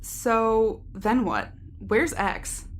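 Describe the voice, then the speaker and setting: A woman's voice: on the high side, animated, very slow, slight background noise. A woman playing a pragmatic, unfazed security team member in an audio drama, terse and dryly matter-of-fact. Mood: dry, cynical.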